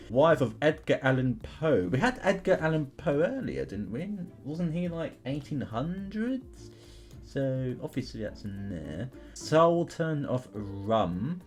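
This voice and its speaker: A man giving casual game commentary as a host, thinking aloud in questions and chatting to his viewers. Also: mid-range voice. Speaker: YouTube gamer host. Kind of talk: casual commentary while playing an online history quiz game.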